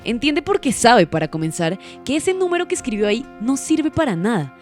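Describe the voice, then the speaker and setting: A woman's voice: fairly high pitch, sing-song, a little fast, a little mellow. An actress playing a furious, imperious woman in an audio drama, accusing with vehement sarcasm. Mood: enraged, sarcastic. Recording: music underneath. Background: music.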